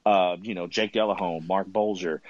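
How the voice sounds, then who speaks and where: Male podcast panelist, lowish voice, casual sports fan talk, close dry recording that is a little dull. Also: steady tone, normal speed, no echo.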